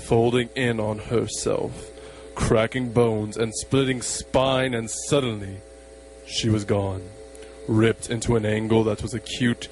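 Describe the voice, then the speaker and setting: A man narrating an amateur horror radio play in a solemn, measured, portentous way. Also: mid-range voice, slight background noise, rough recording.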